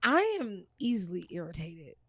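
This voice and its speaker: A woman's voice: on the low side, very animated, normal speed, a bit bright, no room sound. Woman, blunt, no-nonsense storyteller, mid voice, dry close mic. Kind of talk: casual chat.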